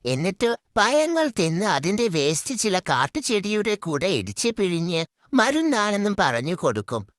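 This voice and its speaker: Woman, children's story narrator, medium pitch, highly animated intonation, scripted delivery. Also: normal speed, dry recording, clean studio sound.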